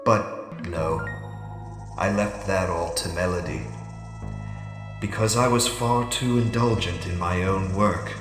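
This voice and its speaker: A man playing an ageing, regretful scientist in an audio drama monologue, speaking formally and broodingly with heavy pauses. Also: low-pitched. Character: ageing, regretful scientist fighting off an alien conditioning in his mind. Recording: echoey reverberant hall with music underneath. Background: music.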